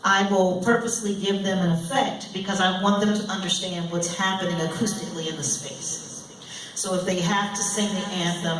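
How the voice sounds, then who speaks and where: A woman musician giving an informal live demonstration in a playful, chatty way, often checking in with her listeners. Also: fairly low pitch. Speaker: musician and vocalist leading a master class. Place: indoor live workshop before an audience. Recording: echoey room, distant mic, noisy background.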